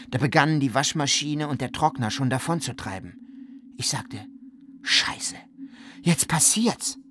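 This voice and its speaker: Schauspieler als eindringlicher Flutüberlebender, Geräuschkulisse. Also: male voice, medium pitch, background noise, lightly acted. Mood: tense recounting. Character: married man recounting a flood escape, urgent and determined. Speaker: radio drama actor. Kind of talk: dramatic first-person survivor monologue.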